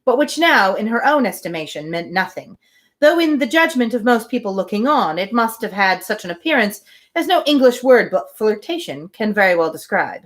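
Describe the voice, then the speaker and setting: A woman's voice: medium pitch, very animated, normal speed. A woman hosting a live reading of a novel, reading aloud with chatty, wry asides. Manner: chatty, wry commentary between passages.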